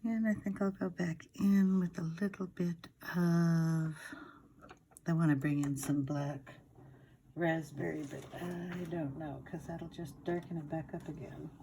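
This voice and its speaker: Low, very mellow woman murmuring. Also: steady tone, measured pace, slight background noise. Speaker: craft tutorial host. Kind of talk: casual coloring demonstration, thinking aloud.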